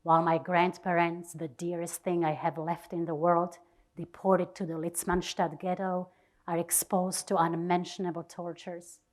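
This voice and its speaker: Low-pitched woman giving a dramatic reading. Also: flat delivery, normal speed. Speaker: reader at a literary event.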